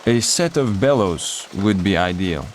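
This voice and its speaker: Low-voiced, formal army major. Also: male voice, lively intonation, a little hiss or hum. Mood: wary. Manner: formal, measured, reserved. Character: cautious, dutiful major on escape committee. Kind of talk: video game cutscene acting.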